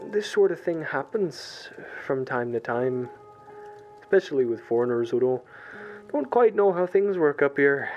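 A man's voice: a little high, a little mellow. A man playing an awkward, kindly police colleague, hesitant and folksy, on a muffled voicemail in an audio drama. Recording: dull, muffled voicemail sound.

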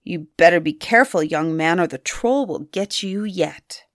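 A woman's voice: high voice, huge pitch swings, slow pace, no room sound, clean studio sound, voice pushed up, exaggerated voice. A woman voicing sour, envious older brothers in a storybook, scolding.

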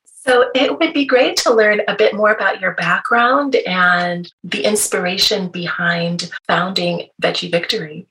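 A woman's voice: medium pitch, measured pace, pushed and bright, low-quality recording. A woman hosting a podcast, reading a polished introduction and asking questions, often ending them with "right?". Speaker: podcast host. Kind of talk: scripted intro and interview questions.

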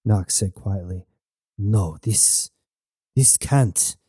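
A man voicing a defiant, grieving young male pirate heir with halting, heated outbursts in an audiobook. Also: lowish voice, mellow, breathy edge, dry recording, clean studio sound, exaggerated voice. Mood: dazed, reluctant.

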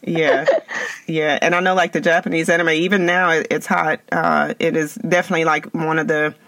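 A man's voice: a little high, level tone, normal speed, creaky, bright, forceful tone, no room sound, rough recording. A man hosting a podcast interview, upbeat and chatty, often restarting his questions. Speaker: podcast host. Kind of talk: casual interview.

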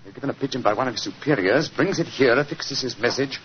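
An actor playing a brisk, commanding male detective in an old radio drama. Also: mid-range voice, natural voice. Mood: probing. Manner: crisp, authoritative reasoning. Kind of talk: 1950s radio drama acting. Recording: dull old radio recording, light hiss.

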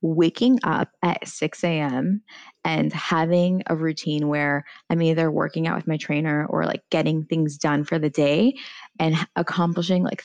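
A woman's voice: low voice, creaky, darkish tone. A woman in her thirties, a former TV journalist and founder, talking candidly on a panel and dropping plenty of "like" and "you know". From United States. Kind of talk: casual panel chat.

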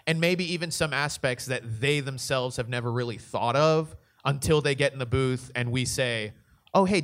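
A man's voice: medium pitch, a bit bright. A man talking casually as a voice actor and director on a convention panel, thinking aloud as he goes.

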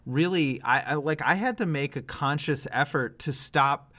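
A middle-aged man co-hosting a podcast, talking casually and reflectively, working through his thoughts haltingly with qualifications. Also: a little high, sharp tone. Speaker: podcast co-host.

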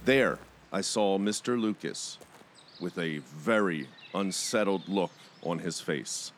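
Male podcast host, fairly deep voice, dramatic scripted storytelling, first-person narration, noisy backdrop. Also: slow.

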